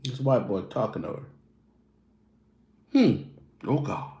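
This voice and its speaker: A man's voice: lowish voice, very animated, takes it slowly, roomy sound, very noisy. A man hosting his own show, chatting loosely and casually with his viewers. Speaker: show host. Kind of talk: casual livestream chat.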